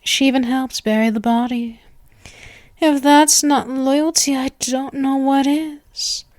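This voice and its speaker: A woman's voice: high-pitched, steady tone, takes it slowly, a little mellow, dry recording. A woman voicing an obsessive, doting, gleefully morbid young vampire in an intimate, theatrical ASMR roleplay monologue. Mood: wistful, devoted. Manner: gushing, theatrical, breathy asides, lovestruck.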